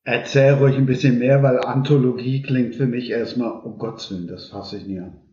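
A man with a medium-pitched voice chats bluntly and informally as a podcast host. The recording is roomy and somewhat muffled. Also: fairly even intonation, fairly quick, warm tone. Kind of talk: casual podcast chat. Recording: dull, roomy, echoing sound.

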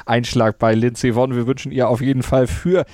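A man's voice: mid-range voice, flat delivery, brisk, warm tone, dry recording, studio quality. Fluent male sports podcast host. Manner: fluent, presenter-like.

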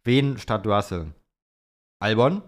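A man's voice: fairly low pitch, lively intonation, slow pace. A man co-hosting a podcast in casual, opinionated and teasing conversation, mixing in English words. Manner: mixes English words into German. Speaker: podcast co-host. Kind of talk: casual chat about motor racing.

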